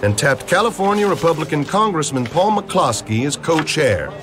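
A man acting an earnest senator, animated delivery. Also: fairly high pitch, moderate pace, background noise, natural voice. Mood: determined, earnest. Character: earnest, determined middle-aged male US senator. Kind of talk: scripted comic-book dramatization, oratorical narration. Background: music.

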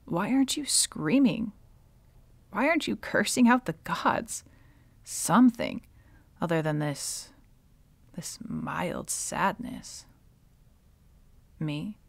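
Centuries-old witch villainess for audio roleplay female actor: unhurried, deep-pitched, sardonic and bitter, softening into breathy near-whispers. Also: no room sound, heavily acted. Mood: incredulous, angry. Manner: slow, sardonic, dropping often into breathy whispers. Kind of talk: ASMR dramatic roleplay monologue.

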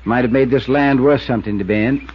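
A man's voice: medium pitch, husky, natural voice. An actor playing a plainspoken, wry small-town lawman with a folksy rural American accent in a 1950s radio drama. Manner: folksy, unhurried, colloquial. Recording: dull lo-fi 1950s radio recording.

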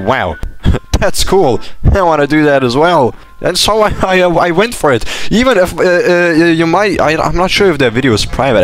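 A young man from Lithuania, a native Lithuanian speaker with British influence in his accent, giving casual gaming commentary in an animated, rambling way. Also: on the high side. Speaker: gaming YouTuber. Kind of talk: casual Q&A commentary over gameplay. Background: background music.

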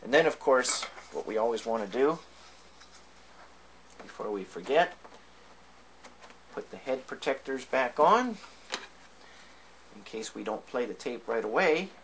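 A man's voice: mid-range voice, expressive, unhurried, long pauses, fairly bright tone. A man with a mid-range voice talks through an informal demonstration, explaining things step by step. There is some faint background noise, as on a home video. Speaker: demonstrator of vintage tape equipment. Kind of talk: informal hands-on tutorial.

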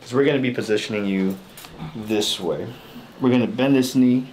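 A man's voice: medium pitch, moderate pace, a little mellow. A man working as a chiropractor, giving casual, reassuring hands-on instructions to a patient. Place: small indoor treatment room. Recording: noisy small room recording.

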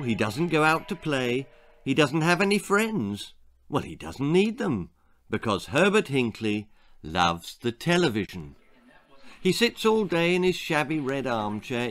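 A man in his sixties with a British accent reading his own children's story aloud in a theatrical, deliberate, suspenseful manner. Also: medium pitch, fairly bright tone. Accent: British English. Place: small book-lined room indoors.

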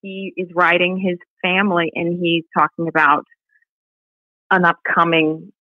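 A woman's voice: fairly low pitch, flat delivery, measured pace, no room sound. Female podcast guest, conversational storyteller, dry but muffled call-quality audio. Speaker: podcast guest, nonprofit director. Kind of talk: conversational interview, reading a family letter. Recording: muffled, band-limited remote call audio.

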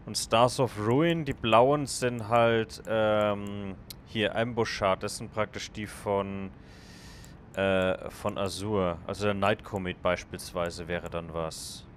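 A man's voice: lowish voice, steady tone, slow pace, a little hiss or hum. A man casually commenting on his game as he plays, thinking aloud and chatting with viewers. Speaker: let's play gamer.

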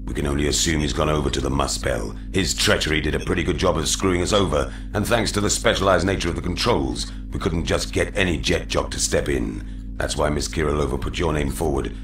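Very deep gruff military commander. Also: male voice, brisk. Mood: stern briefing. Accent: American. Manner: authoritative, plain-spoken. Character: gruff commanding older male military colonel. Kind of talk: video game cutscene acting. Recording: roomy, music behind.

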